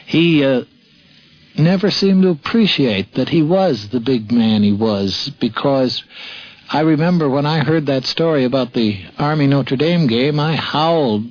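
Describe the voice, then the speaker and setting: A man with an American accent, a sports columnist reminiscing in a halting manner with repeated phrases. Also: mid-range voice, lively intonation. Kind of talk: anecdotal reminiscence. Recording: muffled vintage radio recording.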